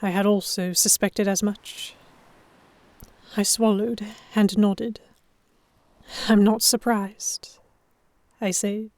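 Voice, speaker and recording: A woman reads first-person narration for a romance audio drama and acts out the dialogue. Her pitch sits in the middle range. The sound is dry, with no room around it. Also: little pitch movement, slow pace, pauses often, a little mellow, no echo. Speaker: narrator and lead actor.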